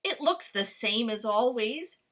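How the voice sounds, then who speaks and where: A greedy, gullible fox who growls and blusters loudly, as a woman acts him out. His voice stays bright and forceful, snarling at his prey. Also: a little high, animated, unhurried. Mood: blustery.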